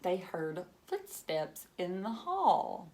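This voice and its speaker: A woman's voice: fairly low pitch, big pitch swings, slow, warm tone. A woman reading a picture book aloud to children, theatrically, with questioning asides to the young listeners.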